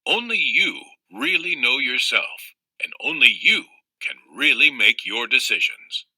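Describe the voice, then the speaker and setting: A man voicing a patient, reflective storybook father who explains things in a measured, reassuring way. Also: medium pitch, sing-song, bright, forceful tone, dry recording, heavily acted, low-quality recording. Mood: thoughtful. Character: patient, reflective father advising his young child.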